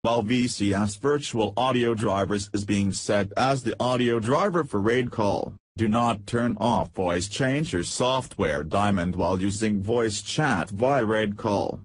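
Low, husky man narrating a tutorial. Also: a little slow, few pauses, dry recording. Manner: reading out step-by-step instructions. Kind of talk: scripted software tutorial narration.

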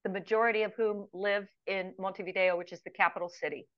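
A woman in her sixties from the United States, a retired guest chatting in a relaxed, storytelling way with lots of ums and restarts, talking over a video call from her home. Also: mid-range voice, quick pace, no room sound. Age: 60s. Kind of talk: casual interview chat. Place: home room with plants, indoors. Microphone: video call webcam. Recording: muffled video call audio.

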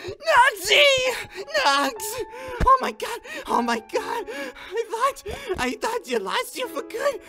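A man's voice: very high voice, big pitch swings, slow pace, few pauses, pushed and bright, heavily acted. A man voicing a brash, sentimental, slangy male demon who dotes on his pet, in a melodramatic cartoon comic dub. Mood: sobbing relief. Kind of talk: animated comic dub acting.

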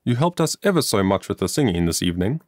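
A man's voice: on the low side, sing-song, fast, darkish tone, no room sound, clean studio sound. A man narrating a children's moral story as an audiobook, reading earnestly and expressively from a script.

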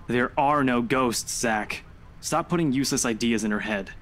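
A man's voice: mid-range voice, normal speed, fairly bright tone, natural voice. An actor playing a skeptical, know-it-all young man in a visual novel, blunt and argumentative. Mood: dismissive. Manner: dismissive, argumentative.

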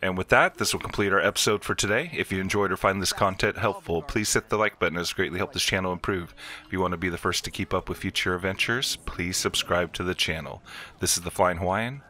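A man's voice: low-pitched, fast, sharp tone. A man hosting a video game walkthrough, explaining each step in an instructional way. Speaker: gameplay video host.

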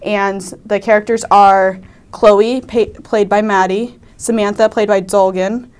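A woman's voice: mid-range voice, steady tone, measured pace, a little mellow, clean tone. Young woman student in casual interview. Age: teens to young adult, high school student. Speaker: student cast member being interviewed.

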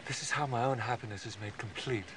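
An actor playing a steadfast, humble desert prophet in a biblical film drama, outdoors by water. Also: male voice, medium pitch, fast, fairly bright tone, natural voice. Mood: humble, firm. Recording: distant dialogue with running water noise.

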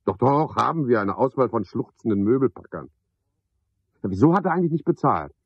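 Husky, pompous, sardonic porter man. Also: mid-range voice, sing-song, a little fast, no room sound, lo-fi sound. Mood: officious, wry. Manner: rambling, pompous monologue with mocking asides. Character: garrulous, pompous, sardonic male porter at a boarding house. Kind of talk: 1970s German radio play acting.

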